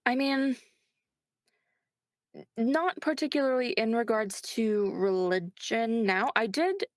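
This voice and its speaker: A woman's voice: a little high, expressive, slow pace, dry recording, studio quality. A woman chatting casually as an interview guest, informal and reflective, often checking in as she explains. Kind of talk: casual chat, answering interview questions.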